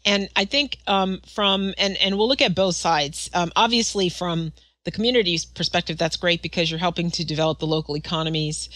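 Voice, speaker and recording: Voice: medium pitch, fairly even intonation, fairly quick, hardly any pauses, bright, forceful tone, no room sound. Rambling interviewer in a dry room. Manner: long, rambling questions with fillers. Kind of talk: conversational interview.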